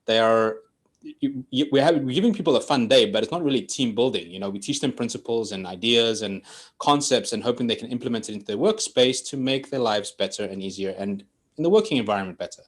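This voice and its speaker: A man's voice: medium pitch, expressive, quick pace. A man in his forties from Greece, a guest on a podcast, telling his story in a chatty way and laughing often. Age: 40s. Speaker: podcast guest, coach and trainer. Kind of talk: casual storytelling interview.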